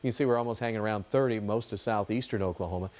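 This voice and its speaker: A man's voice: on the low side, racing, darkish tone. A man giving an ad-libbed TV weather forecast in a chatty, folksy, informal way.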